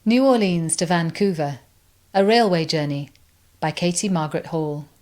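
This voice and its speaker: A woman reciting poetry in a deliberate, reflective way, pausing between phrases. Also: fairly low pitch, a little mellow. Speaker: reader of poems.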